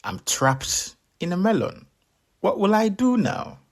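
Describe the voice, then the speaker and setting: Man playing a sly, high-voiced trickster spider. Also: very animated, takes it slowly, heavily acted. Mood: distressed. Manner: scheming, exclamatory. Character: sly greedy trickster spider, male, easily exasperated.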